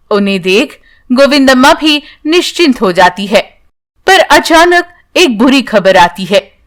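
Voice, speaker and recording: High-pitched, unhurried woman storyteller. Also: a bit bright. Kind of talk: scripted bedtime story narration.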